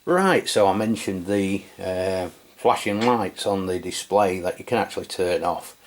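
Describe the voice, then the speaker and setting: A man with a notably low voice explains a product in a laid-back, conversational manner. He often pauses on fillers and corrects himself mid-sentence, like a hobbyist talking to his regular viewers. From England. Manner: relaxed and chatty, with frequent fillers and self-corrections. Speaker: radio equipment reviewer. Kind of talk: casual product review walkthrough.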